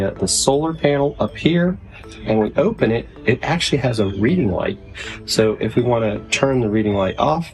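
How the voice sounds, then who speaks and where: A man's voice: medium pitch, a little mellow, poor audio. A man giving a casual, conversational product demonstration as a video reviewer.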